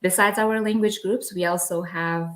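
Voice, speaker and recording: A woman's voice: on the low side, fairly even intonation, moderate pace. A Portuguese woman speaking English as a guest in a casual interview, hesitant, with many fillers. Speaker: guest from a language exchange project.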